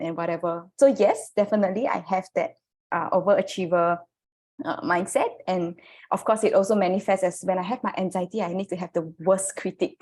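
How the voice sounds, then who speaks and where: A woman's voice: fairly low pitch, a little mellow, breathy edge. A woman from Malaysia co-hosting a podcast, candid and joking about herself. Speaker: podcast co-host. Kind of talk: casual podcast conversation.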